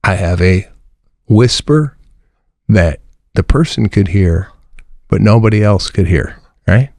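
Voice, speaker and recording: A man's voice: lowish voice, expressive, slow, darkish tone, no room sound, clean studio sound. A man co-hosting a comedy podcast, making wry observations and firing off rhetorical questions. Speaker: podcast co-host. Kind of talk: casual comedic chat.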